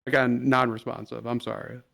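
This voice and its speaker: A man improvising as an attorney in a roleplay trial, pressing a witness with halting, repetitive questions. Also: medium pitch, level tone, vocal fry, a bit bright. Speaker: attorney cross-examining a witness.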